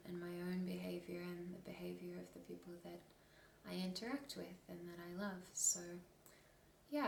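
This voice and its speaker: A woman in her twenties with an Australian accent speaks in a hushed, hesitant half-whisper, as in a soft ASMR chat. Her voice sits low with a gravelly fry. The recording is roomy and picked up from a little way off the mic. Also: distant mic, a little hiss or hum. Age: 20s. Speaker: ASMR content creator.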